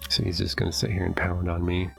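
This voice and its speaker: Middle-aged man, low flat voice, stuffy nose, hesitant thinking aloud, faint game music. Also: quick pace, gravelly. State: stuffy, sniffling. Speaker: let's play host. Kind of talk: casual gameplay commentary. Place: home basement.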